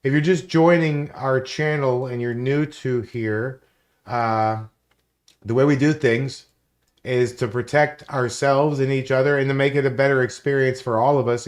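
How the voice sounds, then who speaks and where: A man from Florida hosting a casual live stream, rambling, greeting chat viewers by name and swearing freely. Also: medium pitch. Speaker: livestream host.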